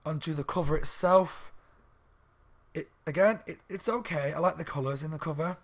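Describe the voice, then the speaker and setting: A slightly hoarse man reviewing a book in a rambling, casual chat at home, with lots of ums and restarts. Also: fairly high pitch, moderate pace. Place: room at home by a window on a main street.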